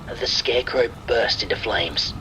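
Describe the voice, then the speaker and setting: A man reading a scripted first-person horror story in a measured, deliberate storytelling manner. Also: medium pitch, highs cut off. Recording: steady rain noise behind the voice. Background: rain and thunder sounds.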